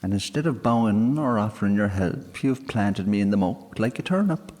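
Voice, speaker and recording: A man voicing a tiny, bearded, easily offended male fairy, huffy and sharp-tongued. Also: fairly low pitch, animated, moderate pace, pitched above the performer's own voice. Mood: indignant. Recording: long hall reverb.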